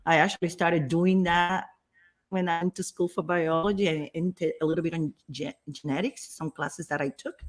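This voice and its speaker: Enthusiastic, slightly low-voiced woman interviewer. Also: steady tone, moderate pace. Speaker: interview host. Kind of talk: conversational interviewing.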